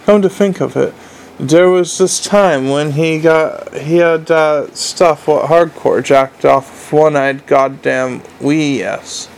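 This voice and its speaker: A dim-witted young troll drags out his words and loses his thread, as a man acts him out. His voice sits a touch high with a gravelly edge. He rambles in halting, muddled fits. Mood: rambling. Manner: slow, halting, rambling speech.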